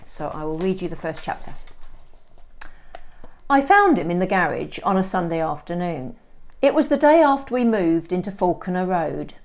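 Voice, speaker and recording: A woman reads a story aloud as a teacher would, with expressive, clearly phrased delivery. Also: on the low side, normal speed. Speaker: English teacher.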